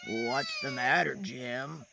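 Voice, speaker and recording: A woman voicing a slow, calmly concerned water buffalo in a storybook, gravelly and deliberate. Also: very deep voice, heavy background noise, voice pushed down, full character voice.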